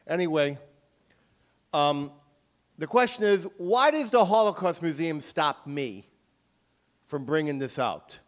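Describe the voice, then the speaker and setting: Forceful male author lecturing. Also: a little high, animated, takes it slowly, long pauses. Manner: emphatic, forceful. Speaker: author giving a talk. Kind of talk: lecture with audience questions.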